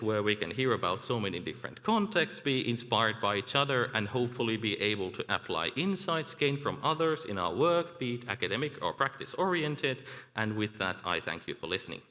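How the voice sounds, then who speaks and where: Bright-voiced man lecturing in a hall. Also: medium pitch, big pitch swings. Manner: measured, lecturing with filler "like". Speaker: academic speaker. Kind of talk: conference presentation. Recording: echoey hall.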